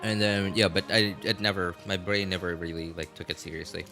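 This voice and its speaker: A man livestreaming games in casual chat, talking in a halting, rambling way and often repeating himself. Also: deep voice, flat delivery, gravelly. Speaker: live game streamer. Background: music.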